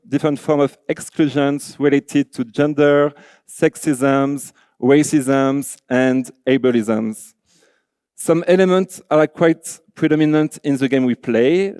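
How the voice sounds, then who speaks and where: A man with a French accent addresses a conference, earnest and loose, leaning on "like" and "you know". His voice sits a touch high for a man, yet mellow and smooth. The sound is dry and close. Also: no echo. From France. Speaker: conference speaker, designer. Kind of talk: conference talk. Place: large auditorium.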